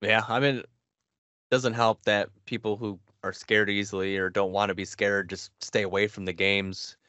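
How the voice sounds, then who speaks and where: A man in his twenties co-hosting a podcast, chatting casually in halting phrases. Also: fairly low pitch, level tone, sharp tone, clean tone, no echo. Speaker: podcast co-host. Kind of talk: casual chat. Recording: dull, lo-fi voice call sound.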